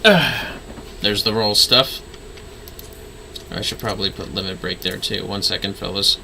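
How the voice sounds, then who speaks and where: A man's voice: fairly low pitch, measured pace, vocal fry, noisy background. A man livestreaming a game with casual, playful commentary, often talking to himself and muttering skill names.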